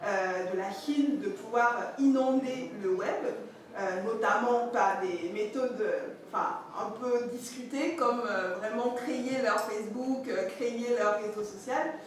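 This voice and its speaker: A woman's voice: a little high, measured pace, distant mic. A woman whose first languages are Yoruba and Fon gives a conference talk, speaking hesitantly with many fillers and restarts. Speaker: speaker at a masterclass. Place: conference room. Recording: echoey hall, from afar, faint noise.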